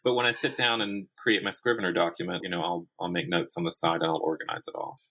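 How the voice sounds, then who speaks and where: A man's voice: low voice, steady tone, quick pace, sharp tone, poor audio. A man speaking as a guest author in a casual interview, often restarting and repeating words as he thinks aloud.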